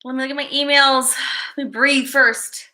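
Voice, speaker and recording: Chatty high-voiced woman on a livestream. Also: fairly even intonation, moderate pace, sharp tone. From Chicago, USA. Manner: rambling, chatty, reads viewer names aloud. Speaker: livestream host. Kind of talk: casual livestream chat.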